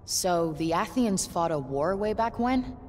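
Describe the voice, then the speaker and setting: Low-voiced, sarcastic young street-tough heroine. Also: female voice, expressive, measured pace, darkish tone, faint music behind. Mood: casual, wry. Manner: sarcastic, casual slangy talk. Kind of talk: video game cutscene acting. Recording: long hall reverb.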